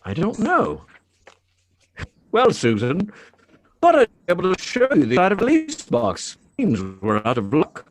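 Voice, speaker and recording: A man's voice: high-pitched, huge pitch swings, unhurried, long pauses, heavily acted. A man reading the part of a puzzled, plain-spoken middle-aged policeman and father in a radio play, in a folksy manner. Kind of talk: live radio drama script reading.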